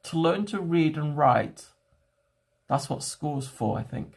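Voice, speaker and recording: Gravelly young man chatting to stream viewers. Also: a little high, expressive. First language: a home language other than English. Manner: halting, thinking aloud with many restarts. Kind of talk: casual livestream chat to viewers. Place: at home.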